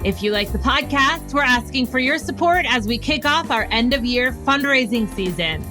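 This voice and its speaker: A woman's voice: high voice, animated, normal speed, over music. A woman podcast host reading a scripted appeal in an upbeat, earnest way.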